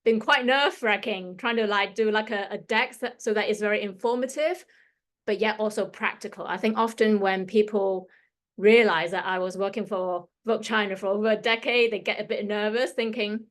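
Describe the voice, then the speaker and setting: A woman's voice: medium pitch, fairly even intonation, moderate pace. Woman, 50s, Hong Kong-London accent, chatty stylist giving a workshop over a computer mic. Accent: Hong Kong and London English. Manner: chatty, loose, with fillers and restarts. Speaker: fashion stylist leading a workshop. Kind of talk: casual presentation to an audience.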